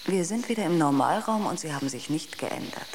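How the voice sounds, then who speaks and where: An actress playing a logical, quietly argumentative ship's computer in a radio drama, with precise, clinical delivery. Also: female voice, low-pitched, animated, fairly quick, background noise. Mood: dryly argumentative.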